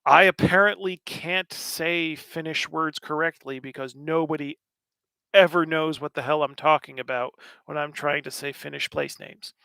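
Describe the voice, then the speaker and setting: A man's voice: fairly high pitch, steady tone, moderate pace, vocal fry, sharp tone, smooth, no room sound, poor audio. An American man in his thirties hosting a casual livestream, answering chat questions in a rambling, wry way with lots of fillers. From United States.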